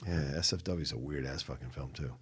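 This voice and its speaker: A man's voice: extremely low pitch, fast, slightly rough. A man co-hosting a casual film podcast, talking in an informal, slangy and sometimes sweary way. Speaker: podcast co-host reviewing films.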